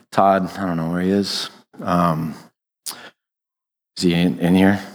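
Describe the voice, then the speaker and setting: A man, a guest pastor with a naturally loud voice, opening his sermon in a relaxed, joking way. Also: lowish voice. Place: church sanctuary. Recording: long church reverb.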